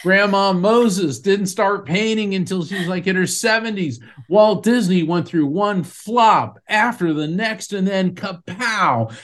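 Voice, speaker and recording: A man, a psychic medium, hosting a call-in show and speaking emphatically in a lively storytelling way. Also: high-pitched, a little slow, few pauses. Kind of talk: call-in radio show chat.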